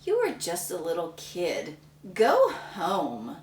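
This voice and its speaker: A woman voicing a bossy male judge at a pie eating contest who calls out loud countdowns. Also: on the low side, wildly swooping pitch, takes it slowly, voice pushed down, heavily acted. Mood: dismissive. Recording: roomy, slightly distant mic.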